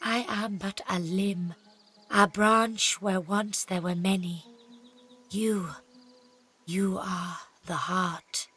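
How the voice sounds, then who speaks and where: A woman playing a blind elf seer in a fantasy game, speaking gravely and haltingly in archaic phrasing. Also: medium pitch, fairly even intonation, a bit bright. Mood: reverent. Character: solemn blind female elf seer, accusing and reverent. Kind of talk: fantasy video game acting.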